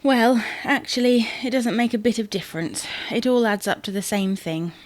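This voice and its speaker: A woman's voice: on the high side, normal speed, no room sound, lightly acted. A British woman playing an exasperated, matter-of-fact wife bickering over household matters in an audio sitcom. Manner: nagging, matter-of-fact, tag questions. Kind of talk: audio sitcom acting, domestic dialogue.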